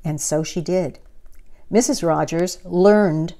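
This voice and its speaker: A woman in her sixties reading a picture book aloud to children in a deliberate, expressive way. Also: fairly low pitch. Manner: deliberate, expressive delivery for young listeners. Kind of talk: animated children's story reading. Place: living room, indoors.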